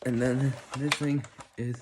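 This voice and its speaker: Male how-to host, mid-pitched, halting informal delivery, noisy indoor recording with clicks. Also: level tone. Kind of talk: casual hands-on demonstration. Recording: noisy room with clicks and handling sounds.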